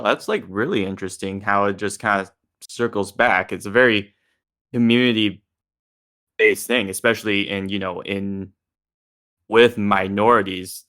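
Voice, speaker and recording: A man's voice: on the low side, sharp tone. An American man interviewing in a casual, informal way, peppering his talk with filler words. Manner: informal, uses 'like' and 'kinda' often. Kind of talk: casual discussion.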